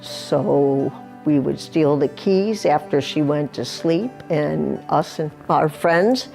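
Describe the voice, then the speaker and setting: Slow, gravelly woman in her sixties. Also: deep voice, warm tone, soft background music, poor audio. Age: 60s. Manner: plain, unhurried and candid. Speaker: person in recovery. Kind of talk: personal testimony interview.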